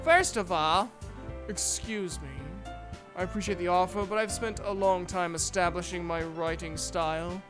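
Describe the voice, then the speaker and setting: A woman's voice: lowish voice, steady tone, moderate pace, over music, pitched below the performer's own voice, close to an everyday voice. A woman voicing a reserved, proud, bookish teenage girl in an anime visual novel fandub, speaking in a formal, precise, defensive way. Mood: politely stiff.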